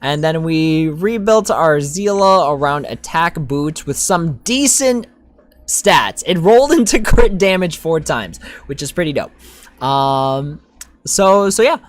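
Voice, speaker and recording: A woman's voice: low-pitched, animated, slow pace. A woman giving casual gameplay commentary as a streamer, thinking aloud in an informal way. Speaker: game streamer.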